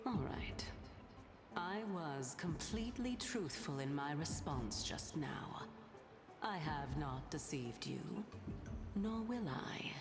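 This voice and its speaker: Low-voiced, sly, haughty sage. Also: female voice, lively intonation. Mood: calm, firm. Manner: unhurried, sly, formal. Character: haughty, teasing leader of seven scholarly sages. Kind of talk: video game story dialogue. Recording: game music playing loudly behind. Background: game soundtrack.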